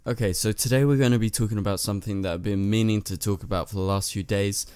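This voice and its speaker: Hoarse low-voiced man chatting. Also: fairly even intonation, fast, no echo. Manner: chatty, rambling, talks straight to viewers. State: lost his voice. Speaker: channel host. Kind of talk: casual commentary to camera. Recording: soft music underneath.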